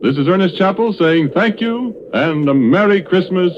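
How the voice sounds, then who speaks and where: A man's voice: high voice, animated, normal speed, background noise. An American man making polished, formal sponsor announcements as a 1930s radio announcer. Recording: muffled vintage 1930s radio broadcast.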